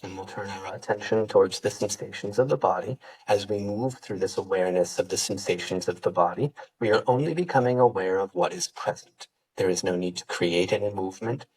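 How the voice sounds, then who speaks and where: A man with a fairly low, rough-edged voice guides a meditation as a yoga teacher. He gives gentle instructions and leaves pauses between them. He sounds well back from the microphone. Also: recorded from a distance, poor audio.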